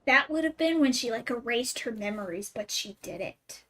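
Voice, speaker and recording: A woman's voice: fairly high pitch, expressive, moderate pace, fairly bright tone. A woman streamer giving casual, chatty gameplay commentary like a gushing fan. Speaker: streamer playing a video game.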